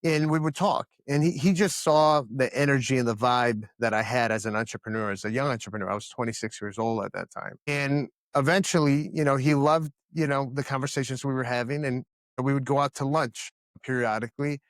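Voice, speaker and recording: A man's voice: medium pitch, a little fast, no room sound. Earnest man from the Dominican Republic. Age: 30s. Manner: earnest and emphatic, repeats words as he restarts. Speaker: podcast guest, company founder. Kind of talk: casual interview answers.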